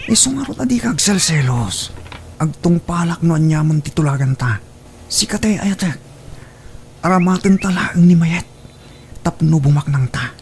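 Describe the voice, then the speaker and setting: A man acting a sly, higher-voiced young schemer. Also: lively intonation, normal speed, vocal fry, pauses often, a little mellow, a little hiss or hum. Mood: cajoling, scheming. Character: sly, smooth-talking, gold-digging young boyfriend. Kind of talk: audio drama acting.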